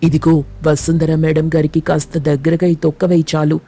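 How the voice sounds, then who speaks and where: A woman's voice: deep voice, little pitch movement, moderate pace, mellow, breathy edge, faint music behind. A woman voicing mischievous young schoolboys who bicker and plot a prank. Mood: scheming. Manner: conspiratorial, bickering. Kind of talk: cartoon voice acting.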